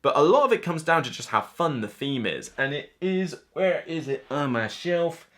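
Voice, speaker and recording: A man's voice: on the high side, sing-song, moderate pace, a bit bright. A man in his thirties giving a casual, unscripted review to camera as host, chatty and emphatic, often acting out players' reactions. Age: 30s. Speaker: board game reviewer and channel host. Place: small indoor room lined with shelves of games.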